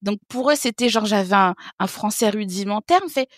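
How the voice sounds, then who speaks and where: A woman in her thirties from French Guiana, a podcast guest telling stories in a lively way, laughing and acting out what others said. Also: mid-range voice, a little fast, no echo, clean studio sound. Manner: lively, laughing, acts out other people's remarks. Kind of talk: casual interview storytelling.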